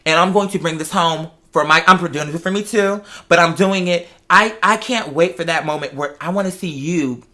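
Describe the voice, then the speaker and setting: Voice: medium pitch, normal speed, hardly any pauses, bright, forceful tone. An American adult chatting casually and telling personal stories, speaking emphatically and repeating phrases for stress. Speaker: talk show host. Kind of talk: casual personal chat, storytelling.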